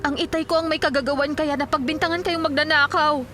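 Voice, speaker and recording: A woman acting as a loyal young Filipina in a radio drama. Her high voice is earnest and urgent with an anxious edge, and music plays clearly behind her. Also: steady tone, fast talker, bright, forceful tone.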